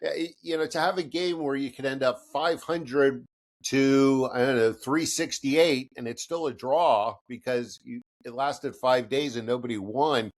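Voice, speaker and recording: A man's voice: medium pitch, a little slow. A middle-aged American man chatting casually as a podcast co-host, rambling and self-deprecating with frequent laughs. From United States.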